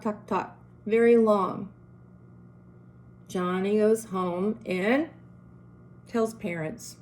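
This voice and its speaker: A woman's voice: mid-range voice, darkish tone. A middle-aged woman who runs a school, with a strong, carrying voice, giving earnest, direct advice to parents and teachers. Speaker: school principal.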